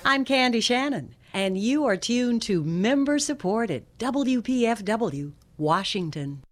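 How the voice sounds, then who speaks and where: A woman reading a radio station identification in a slow, deliberate way. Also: a little high, expressive, soft background music.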